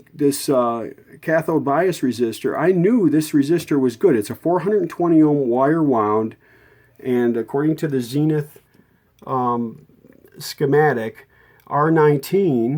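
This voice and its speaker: Folksy guy doing a casual repair vlog, mid pitch voice, kinda thinking out loud and correcting himself. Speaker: radio repair hobbyist and video host. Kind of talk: casual unscripted repair walkthrough.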